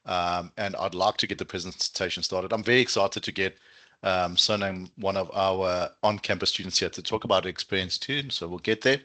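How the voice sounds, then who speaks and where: A man's voice: low-pitched, moderate pace, pushed and bright. A man with a South African accent, presenting an online session in an enthusiastic, chatty way as a recruitment manager. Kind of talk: informal webinar presentation to an online audience.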